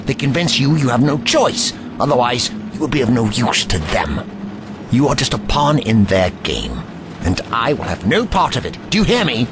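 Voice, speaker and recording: A man playing an impassioned, principled hero in an audio drama, emphatic and moralising as he pleads for life. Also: close to an everyday voice. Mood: defiant, pleading. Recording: vehicle engine noise behind. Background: rumbling vehicle.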